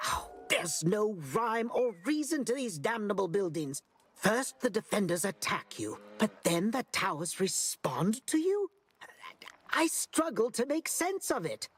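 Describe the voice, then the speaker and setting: A woman's voice: medium pitch, very animated, measured pace, slightly rough. A woman playing an impatient, curious scholar in a video game, speaking formally and theatrically. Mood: exasperated. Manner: theatrical, exasperated, formal wording. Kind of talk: video game character acting.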